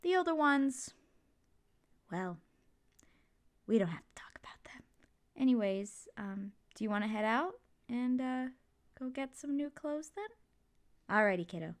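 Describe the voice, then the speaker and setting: A woman's voice: fairly high pitch, measured pace, long pauses. A woman playing a supportive, playful mother in an ASMR comfort roleplay, talking casually and reassuringly to her kid. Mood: upbeat, reassuring. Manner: casual, reassuring, jokey, gently expressive. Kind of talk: ASMR comfort roleplay, one side of a conversation.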